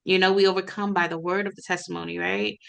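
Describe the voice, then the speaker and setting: Podcast host lady, lowish gravelly voice, hypes up her guest and encourages listeners. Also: level tone, fast talker, fairly bright tone. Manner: enthusiastic, encouraging, preacherly. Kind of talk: casual interview talk.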